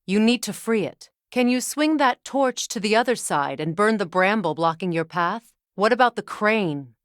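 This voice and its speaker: A woman's voice: medium pitch, lively intonation, normal speed, no echo, clean studio sound, natural voice. An actress playing a stern, proud warrior goddess, a battle-hardened mother with a commanding, curt manner, in a fantasy video game. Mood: brisk, guiding. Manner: commanding, curt, sharp-tongued. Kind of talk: dramatic fantasy game dialogue.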